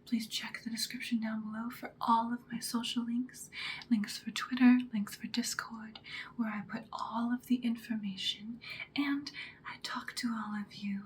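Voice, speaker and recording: A woman giving an ASMR host's introduction in a breathy whisper. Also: a little high, fairly even intonation, moderate pace, slight background noise. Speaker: ASMR channel host.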